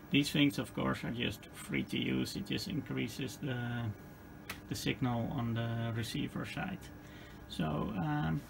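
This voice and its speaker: Gravelly Dutch-accented man demonstrating equipment. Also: mid-range voice. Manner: halting, hesitant phrasing with repeated words. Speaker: hobbyist demonstrator. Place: indoor electronics workbench. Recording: clearly noisy room recording.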